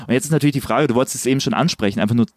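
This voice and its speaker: Male interviewer, rambling questions, quick talk, dry close mic. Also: mid-range voice, a little mellow, dry recording. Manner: long winding questions with many "ähm" and "letztendlich". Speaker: podcast interviewer.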